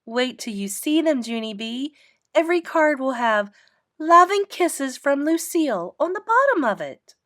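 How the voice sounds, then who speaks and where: A woman's voice: sits high, big pitch swings, unhurried, a bit bright, dry recording, studio quality, voice pushed up, exaggerated voice. A woman voicing a prissy, boastful little rich girl, haughty and gushing, in a children's storybook. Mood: smug, dreamy.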